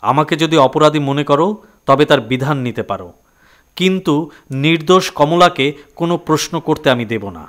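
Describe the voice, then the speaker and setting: A man's voice: on the high side, brisk, a bit bright, close to an everyday voice. A man playing an accused young man in a Bengali audio drama, answering in a terse, firm, defensive way. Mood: guarded, defiant. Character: guarded, defiant young man under accusation.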